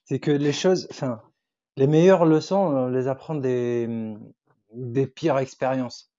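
Man, young adult, from Tunisia, native Arabic speaker, podcast guest, hesitant, dark tone, muffled lo-fi recording. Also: medium pitch, steady tone, takes it slowly. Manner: hesitant, searching for words with many fillers. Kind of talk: casual interview chat.

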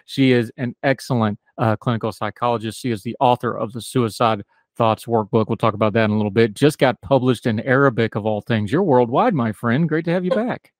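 A man's voice: mid-range voice, fairly quick, dry recording. Man, appalachian accent, talk radio host, folksy, chatty, dry close sound. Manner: folksy, talkative, self-deprecating. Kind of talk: talk radio hosting and interviewing.

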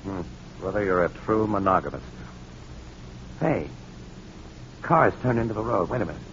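An actor playing a tough, wisecracking newspaper photographer, brisk and slangy, in a 1940s radio crime drama. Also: male voice, sits low, animated, fairly bright tone. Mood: hard-boiled. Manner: brisk, hard-boiled, slangy. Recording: muffled, noisy old radio recording.

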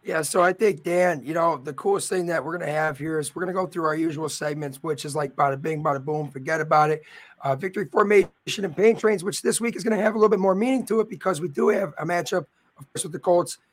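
A man with a raspy voice hosting a live sports show, talking upbeat and straight to his viewers. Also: a little high, fast. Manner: upbeat and promotional, addressing viewers directly. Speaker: host.

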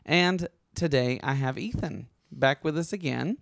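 Male podcast host, young adult, slightly hoarse, chatty and rambling, dry close mic. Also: fairly high pitch, measured pace, no room sound. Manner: chatty, rambling, with frequent restarts. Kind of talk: casual conversational podcast chat.

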